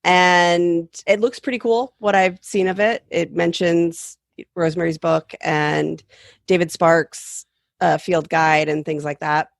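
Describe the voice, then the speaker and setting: A woman guest talks casually on a tech podcast. Her voice has noticeable vocal fry and is recorded very dry, and she explains things agreeably. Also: on the low side, fairly even intonation, slow, no room sound.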